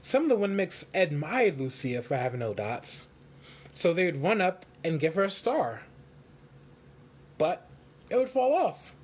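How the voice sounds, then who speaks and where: Slow, animated man reading a children's story. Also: sits high. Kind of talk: storybook read-aloud.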